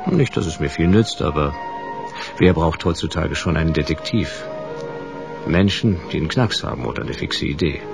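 A world-weary private eye narrates his case in dry noir fashion. The voice is extremely low and gravelly, deadpan and wry, with music clearly playing underneath. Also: normal speed, full character voice. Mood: laconic, sardonic. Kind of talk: noir first-person radio play narration.

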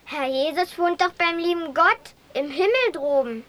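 High-voiced inquisitive child. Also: female voice, lively intonation, a little slow, fairly bright tone, exaggerated voice. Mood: curious. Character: naive, inquisitive young child questioning father. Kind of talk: fairy-tale radio play.

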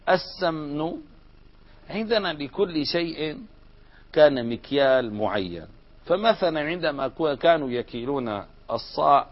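A man teaching an Arabic grammar lesson, explaining step by step and putting questions to the class. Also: mid-range voice. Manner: explains step by step, asks students questions and answers them. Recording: dull lo-fi recording.

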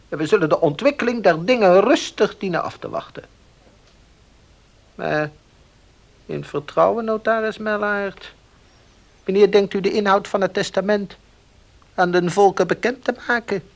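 A man acting in a Dutch radio play as a scheming, bossy village baker, insinuating and gruff in his deliberate talk. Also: sits high. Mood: guarded, dismissive.

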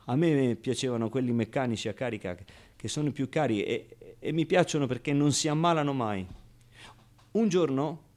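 An actor playing a naive, streetwise young man recalling his boyhood in a confiding, colloquial radio monologue with dramatic pauses. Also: mid-range voice, darkish tone, natural voice. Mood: wistful, nostalgic.

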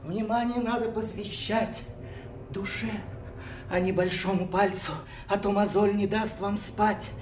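An actor playing a wry, plaintive court jester in a theatrical audio play, with a singsong delivery. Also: male voice, very high-pitched, slight background noise. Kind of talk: Russian audio play, theatrical rhymed verse. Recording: echoey, distant from mic, music underneath.

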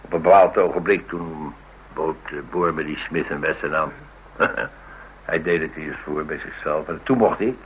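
An actor playing a calm, evasive yet affectionate man in a Dutch radio drama, speaking in a casual, understated way. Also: rumbling low, moderate pace, slight background noise, low-quality recording. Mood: calm, reflective.

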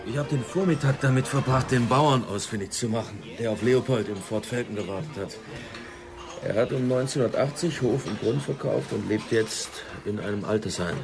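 An actor playing a brooding, persistent police investigator in a German radio drama, measured and reflective in manner. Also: male voice, medium pitch, over music, lightly acted.

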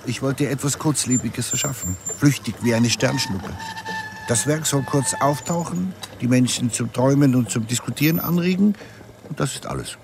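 Male avant-garde artist played by an actor, mid-pitched, short emphatic manifesto lines, radio drama, faint noise. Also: normal speed, close to an everyday voice. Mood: declarative. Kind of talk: declaimed manifesto in audio drama.

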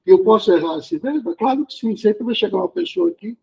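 A man's voice: high-pitched, expressive, fast talker, gravelly, a little mellow, slightly rough, low-quality recording. A man leading a study group discussion, musing aloud and explaining, often checking in with tag questions.

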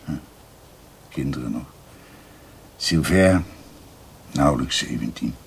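Low-voiced, musing older uncle. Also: male voice, vocal fry, slightly rough, heavily acted. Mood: musing, narrating. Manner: hesitant, musing, halting. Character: older male doctor, bookish uncle telling family history. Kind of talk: radio drama acting, storytelling.

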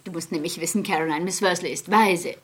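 An actress playing a kindly, gossipy older society hostess in a 1960s German radio play, genteel and effusive. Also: female voice, fairly low pitch, quick pace, husky. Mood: chatty, genteel.

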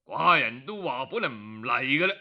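A man's voice: medium pitch, lively intonation, moderate pace. A man voicing a proud, aggrieved duke with a Cantonese accent, complaining indignantly in an oral historical story. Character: proud, aggrieved duke grumbling at his adviser. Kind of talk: storytelling character voice. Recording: dull, lo-fi audio.

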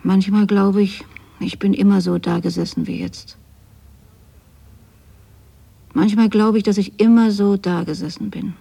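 A lonely older mother in a literary radio play. Her voice is dark, husky and full of creak, and she speaks in an intimate, brooding, yearning way. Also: medium pitch, fairly even intonation, normal speed. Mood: desolate, brooding. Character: lonely, yearning middle-aged or older mother of grown children. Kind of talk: literary radio drama monologue.

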